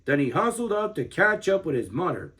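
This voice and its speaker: A man of thirty reading a children's book aloud as an expressive storyteller. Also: high voice, fairly quick.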